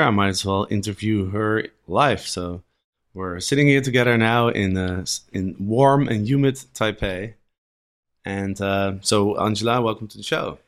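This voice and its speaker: A man's voice: fairly low pitch, moderate pace. A man hosting a casual podcast interview, talking loosely with many fillers. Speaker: podcast host. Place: in a room in a city abroad.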